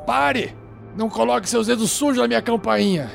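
A man's voice: very high-pitched, vocal fry, heavily acted. A man playing a fussy, suspicious germaphobe recluse in a tabletop roleplay, scolding and bossing theatrically. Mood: bossy, suspicious. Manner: scolding, dramatic exclamations, drawn-out orders. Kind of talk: improvised tabletop roleplay acting. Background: background music.

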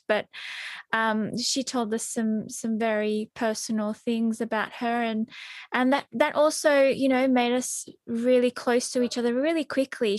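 Chatty woman host with a fairly high voice. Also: dry recording. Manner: chatty and warm, with many fillers and restarts. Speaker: podcast host, team member. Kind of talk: workplace podcast conversation.

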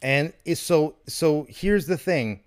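A man in his thirties talking politics casually, animated and questioning, with some swearing, as a podcast host. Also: on the high side, takes it slowly, no room sound, studio quality. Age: 30s.

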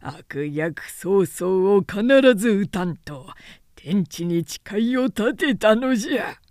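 Woman voicing a defiant old physician, slow fierce declaiming. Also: sits high, darkish tone, dry recording, studio quality. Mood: grim resolve. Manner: slow, drawn-out declaiming with archaic speech.

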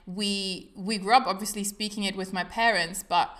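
Rambling woman host with a bright voice. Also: medium pitch, fairly even intonation, normal speed. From Germany, Italian-born. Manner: rambling, many restarts and "um" and "like". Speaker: podcast host. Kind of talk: casual conversational chat.

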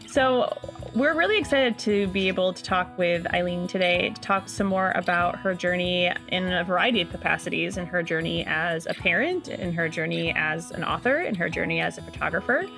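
A woman's voice: lowish voice, expressive, moderate pace, gravelly, a bit bright, faint music behind. Upbeat woman podcast host. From central Massachusetts. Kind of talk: scripted intro and interview hosting.

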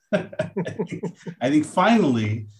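A man's voice: fairly high pitch, big pitch swings, drawn-out words, darkish tone. A man hosting a podcast, introducing the show and talking conversationally with a guest. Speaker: podcast host.

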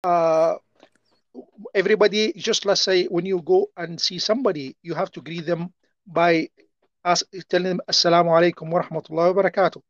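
Man, Arabic accent, high voice, halting speech, many fillers, dull dry recording. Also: steady tone, no echo. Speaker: interview guest. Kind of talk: casual interview answers.

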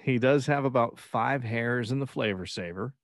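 A man's voice: medium pitch, moderate pace, no room sound, clean studio sound. A man in his thirties co-hosting a podcast, rambling and teasing in casual banter with light swearing. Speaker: podcast co-host.

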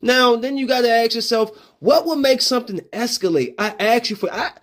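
A man with a high, bright-pitched voice holds forth on his own podcast. His talk is loose and full of slang. He breaks into animated, raised-voice re-enactments of confrontations as he makes his points. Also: brisk. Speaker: podcast host. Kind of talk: solo opinion podcast talk.

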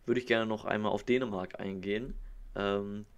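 A man's voice: on the low side, steady tone, normal speed, a little hiss or hum. A man from Hamburg co-hosting a podcast in easygoing, casual chat. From Hamburg, northern Germany. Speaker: podcast co-host.

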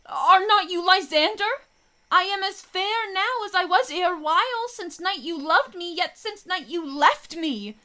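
A woman's voice: extremely high pitch, expressive, unhurried, pushed and bright, full character voice. A woman playing a bewildered, heartbroken young woman in love in a Shakespeare radio play, plaintive and theatrical. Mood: distraught, pleading.

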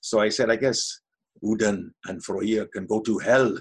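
A man's voice: on the low side, a little slow, breathy edge. A man with an Ottawa Valley Canadian accent, a voice actor chatting as a guest in an interview, telling stories. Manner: chatty, storytelling. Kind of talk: casual interview chat.

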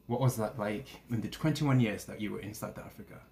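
A man hosting a podcast, asking questions in a relaxed, hesitant, casual way. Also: on the low side, brisk, vocal fry, slight background noise. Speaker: podcast host. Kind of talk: casual interview.

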